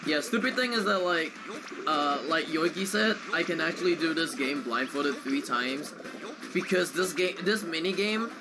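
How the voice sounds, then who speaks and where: A man's voice: fairly high pitch, expressive, moderate pace, noisy background. A man giving casual live commentary on a video game as he streams it. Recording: game music under the voice. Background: loud game music.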